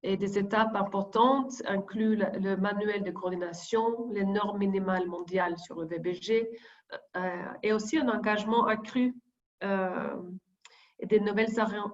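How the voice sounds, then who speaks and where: A woman reads her prepared opening remarks at a middling pitch, stopping often to restart a phrase. The sound is a muffled, lo-fi video call. She is a deputy coordinator speaking to colleagues. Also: steady tone, normal speed. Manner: hesitant, many fillers and restarts while reading.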